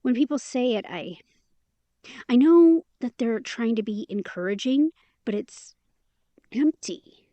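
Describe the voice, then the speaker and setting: An actress playing a caring, candid young woman in an intimate audio drama, speaking in a casual, gently encouraging way. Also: a little high, lively intonation, slow, long pauses, dry recording, muffled recording. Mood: sincere.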